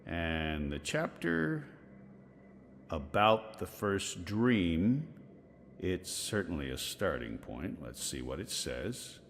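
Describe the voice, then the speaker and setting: A man's voice: low-pitched, sing-song, slow pace, a little hiss or hum, heavily acted. An actor playing a scholarly, curious, dry-witted older man in a video game, musing in a bookish way. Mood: studious musing. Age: middle-aged. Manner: bookish, musing, exclaiming over finds. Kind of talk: video game dialogue acting.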